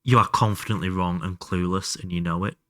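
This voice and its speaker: A man's voice: sits low, fairly even intonation, a little fast, bright, forceful tone, no echo. A man doing casual live game commentary and chatting to viewers, sweary and self-deprecating. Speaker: live streamer playing a video game.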